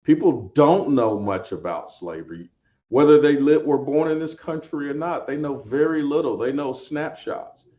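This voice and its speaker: A man on a webinar panel answering a question, slow and halting as he searches for words. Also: on the high side, warm tone. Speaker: museum representative, panelist. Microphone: video call. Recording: muffled video call audio.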